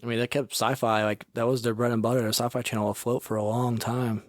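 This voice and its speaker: Podcast dude, pretty monotone, dry close sound, throws out questions. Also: male voice, mid-range voice, quick pace, no echo. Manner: dry, asks short questions. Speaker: podcast co-host. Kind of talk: casual chat.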